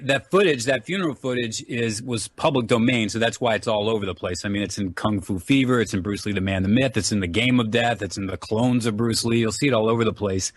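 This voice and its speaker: A man giving a casual interview as a guest, explaining things in a rambling, amiable way. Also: fairly low pitch. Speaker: interview guest, filmmaker and author.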